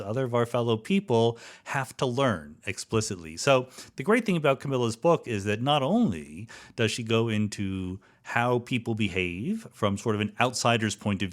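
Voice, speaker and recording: A man hosting a podcast, talking conversationally and explaining ideas with rhetorical asides. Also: on the low side. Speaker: podcast host. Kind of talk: conversational monologue and interview.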